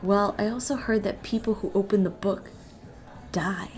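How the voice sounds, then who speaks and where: A woman's voice: medium pitch, fairly even intonation, normal speed, darkish tone, close to an everyday voice. A woman playing an earnest, uneasy young college student drawn to the occult, acting and narrating in an audio drama.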